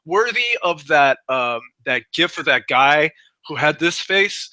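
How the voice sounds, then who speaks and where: A man's voice: fairly high pitch, sing-song, unhurried, pushed and bright, no room sound. A man in his thirties chatting casually and enthusiastically about sport as a guest commentator. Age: 30s. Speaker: guest commentator on a wrestling and MMA show.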